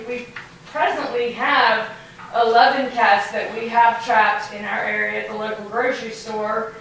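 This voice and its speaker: A woman animal advocate explaining a cat program at a city meeting, with a loud, earnest voice. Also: a little high, a little hiss or hum. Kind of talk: public comment at a meeting. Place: council chamber. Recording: very echoey hall, distant.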